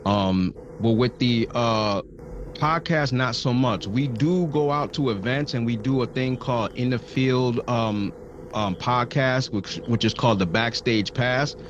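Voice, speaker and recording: A man's voice: mid-range voice, measured pace, lo-fi sound, slight background noise. A man from Brooklyn, New York, a podcast guest explaining things steadily with hesitant ums. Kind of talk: casual interview chat.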